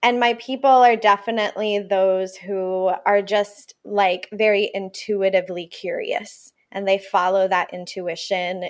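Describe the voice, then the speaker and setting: A woman's voice: medium pitch, fairly even intonation, a little slow, hardly any pauses. A woman who grew up in Puerto Rico, a native Spanish speaker, talking reflectively as a yoga teacher guest on a podcast. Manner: reflective, thoughtful, uses fillers like "you know". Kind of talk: podcast interview.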